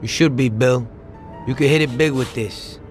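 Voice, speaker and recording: A man's voice: medium pitch, normal speed, over music, lightly acted. A man playing a confident, businesslike mobster in a video game cutscene. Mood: businesslike, friendly. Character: confident, businesslike male mobster running a smuggling deal.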